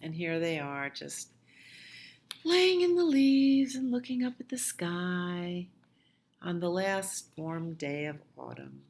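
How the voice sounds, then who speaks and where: A woman reading a picture book aloud to young children in an expressive, unhurried way, acting out the dialogue. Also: lowish voice. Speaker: children's storytime reader.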